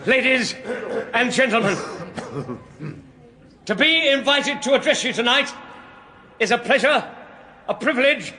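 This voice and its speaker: A man's voice: very high voice, unhurried, long pauses, pushed and bright, exaggerated voice. An actor playing a brash, irreverent British crime reporter in a radio drama, cheeky and blustering as he rambles on. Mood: blustering, theatrical.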